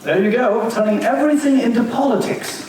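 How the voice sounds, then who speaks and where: A man's voice: very high-pitched, a little fast, darkish tone. An older man acting a wry, evasive Irish book reviewer in an amateur stage reading, mild and defensive. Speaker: community theater actor. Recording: echoey hall, mic far off, faint hiss.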